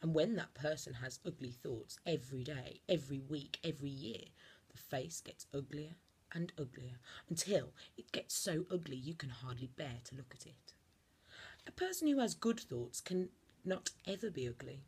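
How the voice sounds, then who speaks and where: A woman reading a children's storybook aloud like a teacher, expressively, with theatrical emphasis and deliberate dramatic pauses. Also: low voice, lively intonation, a little mellow, husky.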